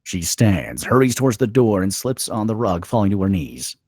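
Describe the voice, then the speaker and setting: A man's voice: fairly low pitch, very animated, normal speed, darkish tone, slightly rough, dry recording, clean studio sound. A man narrating a screenplay's stage directions in a scripted dramatic style.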